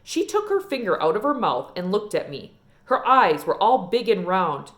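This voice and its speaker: A woman reading a children's novel aloud in an expressive storytelling style. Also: medium pitch, normal speed. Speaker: read-aloud reader.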